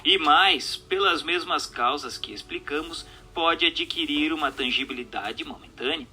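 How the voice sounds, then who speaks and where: Bright, forceful Brazilian male narrator. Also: on the high side, unhurried, breathy edge. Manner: formal, didactic. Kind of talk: scripted narration of a religious text.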